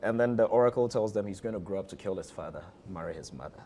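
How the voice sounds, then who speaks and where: A man's voice: fairly low pitch, quick pace, warm tone, a little hiss or hum. A Ghanaian-accented man being interviewed as a theatre director, talking conversationally and leaning on repeated phrases for emphasis.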